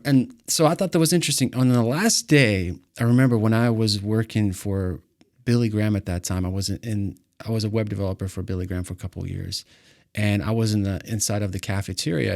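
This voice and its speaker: A male host from Ukraine and a native Russian speaker, telling a personal story with animated bursts and restarts. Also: fairly low pitch. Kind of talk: storytelling interview chat.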